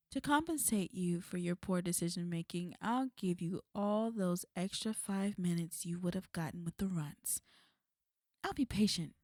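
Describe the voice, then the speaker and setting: Woman acting a smug, mellow-voiced female villain. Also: mid-range voice, no room sound, clean studio sound, natural voice. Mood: menacing. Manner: taunting, coolly threatening, unhurried. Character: smug, menacing female villain, fond of an enemy. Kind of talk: ASMR roleplay.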